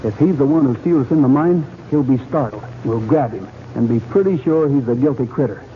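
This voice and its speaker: An actor playing a confident, decisive young cowboy hero with a Western American accent in an old-time radio drama. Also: male voice, mid-range voice, animated, brisk, natural voice. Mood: resolute. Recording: tinny, hissy old radio recording.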